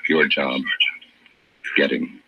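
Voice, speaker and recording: A man announcing a 1950 radio drama in a solemn, authoritative, deliberate scripted style, on an old broadcast recording. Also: rumbling low, little pitch movement, muffled recording. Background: music.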